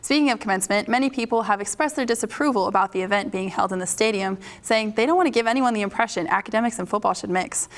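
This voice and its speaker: A woman's voice: medium pitch, fast, hardly any pauses. A young American woman co-anchoring a scripted satirical student newscast. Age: young adult.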